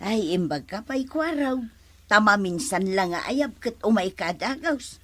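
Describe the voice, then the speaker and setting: A woman's voice: mid-range voice, lively intonation, a little slow, a bit bright, dry recording. A woman with an Ilocano accent acting an aggrieved, fretting village wife in a comedy drama. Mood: fretful.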